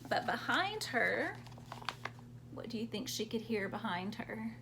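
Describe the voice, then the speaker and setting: A woman's voice: a little high, sing-song, measured pace, creaky, noisy background. A woman reading a story aloud to young children expressively, prompting them to join in as she goes. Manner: interactive, prompting children with questions and sound words.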